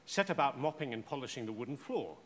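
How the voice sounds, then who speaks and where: A man narrating a scripted short story in a measured, theatrical way. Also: mid-range voice, expressive. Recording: echoey hall with faint noise.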